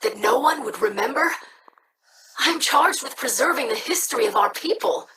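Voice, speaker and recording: An actress playing an earnest, curious young alien scholar in a video game. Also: female voice, high-pitched, animated, moderate pace, background noise. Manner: earnest, eager.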